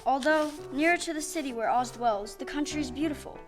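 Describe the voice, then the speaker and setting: Woman as a polite tin man, high voice, formal and earnest, stage audio play w/ music under it. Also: fairly quick, close to an everyday voice.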